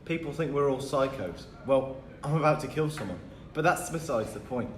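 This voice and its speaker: A man's voice: medium pitch, expressive, moderate pace, close to an everyday voice. A man playing a troubled, bitter teenage schoolboy in a script read-through. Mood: sullen. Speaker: actor at a script table read. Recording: roomy echo with faint noise.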